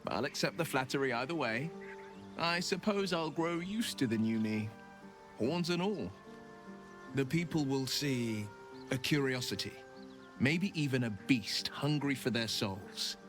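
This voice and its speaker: A proud horned hero of a fantasy game, played by a man, speaks at a middle pitch with theatrical, self-assured earnestness. Music plays clearly behind the gallant voice. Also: expressive, a little slow, lightly acted. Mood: proud, resolute. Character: gallant horned warrior, proud monster-slaying folk hero. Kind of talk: video game character acting.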